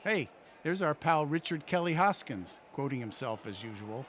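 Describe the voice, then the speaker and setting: An actor playing a folksy, cheerful, smug extremist militia leader in a satirical audio play, pitching his cause with glib, eager patter. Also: very animated, moderate pace, fairly bright tone, a little hiss or hum. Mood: smugly zealous.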